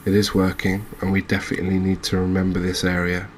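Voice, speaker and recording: Guy doing quiet asmr game commentary, low flat voice, slow and musing, faint noise behind. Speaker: host of a video game channel. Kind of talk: soft-spoken ASMR gameplay commentary.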